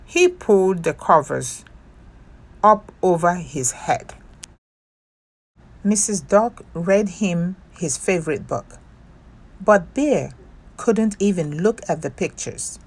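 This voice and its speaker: A woman reading a children's picture book aloud as a storytime host, in an expressive narrating style. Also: lowish voice, takes it slowly, pauses often.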